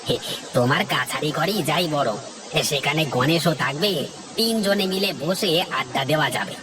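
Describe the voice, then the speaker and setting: A man voicing a chatty, idle village friend in an animated fairy tale, folksy and exclamatory. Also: a little high, moderate pace, noisy background, close to an everyday voice.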